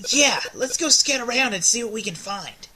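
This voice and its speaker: A woman's voice: on the high side, expressive, moderate pace, bright, forceful tone, husky, full character voice. A woman voicing a chirpy, eager young student mage in a game fan dub. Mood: excited.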